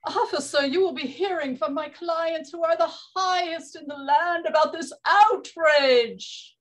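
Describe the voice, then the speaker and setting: A woman's voice: very high voice, measured pace. A woman playing a grandiose, indignant fortune-teller and radio psychic, with a pompous theatrical manner. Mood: outraged, grandiose.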